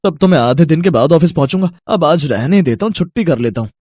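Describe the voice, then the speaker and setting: A man's voice: fairly high pitch, lively intonation, fast talker, darkish tone, no echo, clean studio sound. An actor playing a weary but reassuring family man in a cartoon story, explaining with mild exasperation. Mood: exasperated. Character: weary, reassuring husband and son. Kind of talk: cartoon moral story acting.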